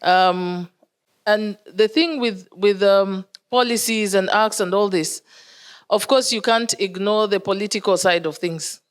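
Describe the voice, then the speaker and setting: A woman's voice: medium pitch, clear, resonant tone. Kenyan woman explaining on a panel. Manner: measured, explanatory, with fillers. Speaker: conference panelist. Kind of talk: panel discussion.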